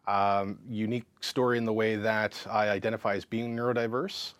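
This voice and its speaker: A man's voice: fairly low pitch, no room sound. Frank man in his thirties. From Canada. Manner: frank and lively, full of fillers and restarts. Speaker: counselor and psychotherapist, interview guest. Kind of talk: casual interview storytelling.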